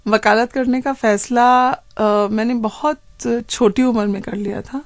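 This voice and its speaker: Female interviewee, middle-aged, Indian, fairly high voice, close dry sound, measured conversational storytelling with English mixed in. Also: steady tone, no room sound. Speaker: interviewee, senior lawyer.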